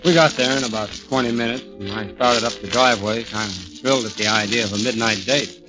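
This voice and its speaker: A man's voice: mid-range voice, fast, close to an everyday voice. An American actor playing a haunted, plain-spoken night garage man telling his story in a 1940s radio thriller. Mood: reflective. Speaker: actor narrating and playing the lead. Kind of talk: 1940s radio thriller acting, first-person narration. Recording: dull 1940s radio recording, loud music behind.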